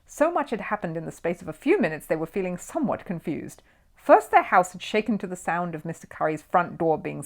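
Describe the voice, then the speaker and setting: Expressive woman reading a children's story. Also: on the low side, creaky. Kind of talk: expressive children's audiobook narration.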